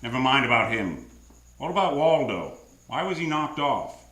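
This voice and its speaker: A man's voice: mid-range voice, very animated, normal speed, pushed and bright. A man playing a wry, world-weary private detective in a 1940s-style radio noir drama, talking and narrating in terse tough-guy lines. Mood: blunt. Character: hard-boiled, wisecracking male private detective, world-weary but soft-hearted. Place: live radio drama on a theatre stage. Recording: roomy live theatre stage, faint music.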